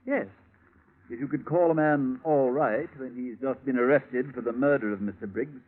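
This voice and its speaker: A man's voice: medium pitch, normal speed, lightly acted. A man acting a suave, smug, corrupt British police chief in a 1940s radio drama, mocking and elaborately polite. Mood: smoothly courteous. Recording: tinny, narrow-band vintage radio recording.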